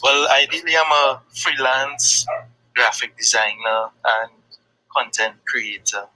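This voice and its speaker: A man from Trinidad and Tobago with a Trinidadian accent, answering questions as a guest in a halting way, full of fillers. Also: mid-range voice, flat delivery, pushed and bright, low-quality recording. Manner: hesitant, halting, with many fillers. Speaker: guest, freelance graphic designer. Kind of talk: casual conversation.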